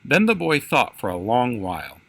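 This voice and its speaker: A man's voice: medium pitch, wildly swooping pitch, normal speed, creaky, fairly bright tone, dry recording. A man reads a children's story aloud as a storyteller, in narrated prose.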